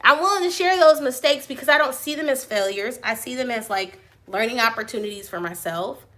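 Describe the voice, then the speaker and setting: A woman with a notably high, bright voice hosts a live stream from her print shop office. She talks loudly and animatedly and breaks into big laughs between her answers to viewers. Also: lively intonation, a little fast. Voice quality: high voice she compares to a cartoon mouse. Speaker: small business owner and channel host.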